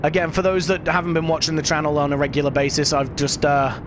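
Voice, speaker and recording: A man's voice: fairly high pitch, flat delivery, fast talker, background noise. A British man streaming a game, chatting casually and self-deprecatingly with his viewers while fighting off a cough. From United Kingdom. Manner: rambling, self-deprecating, talks to chat. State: lingering cough. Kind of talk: casual gameplay commentary.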